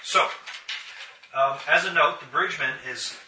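A game master heard from across a clattering room. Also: male voice, a little high, sharp tone, a little hiss or hum. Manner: halting, many ums. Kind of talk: narrating a tabletop game. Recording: distant roomy mic with clatter.

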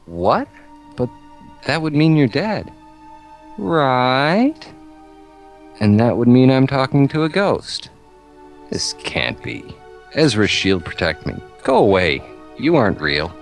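A haunted, gloomy doctor played by a man speaks slowly and theatrically. His halting voice swings widely in pitch, in radio play melodrama over faint music. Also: sing-song. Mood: frightened, defiant.

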